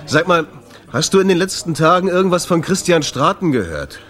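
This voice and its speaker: Insistent, sardonic jazz club owner, lively man. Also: fairly high pitch, brisk. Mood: casual probing. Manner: probing, terse, sardonic. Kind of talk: German radio drama acting.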